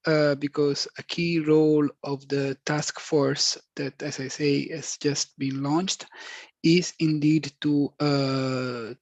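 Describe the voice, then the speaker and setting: A man presenting as an official at a webinar, talking haltingly with many fillers over a video call. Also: medium pitch, no echo. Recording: dull lo-fi audio.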